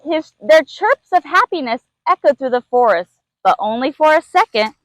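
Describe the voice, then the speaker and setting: A woman's voice: high-pitched, animated, moderate pace, no room sound, low-quality recording. A woman reading a children's storybook aloud as a narrator. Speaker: zoo keeper reading a story.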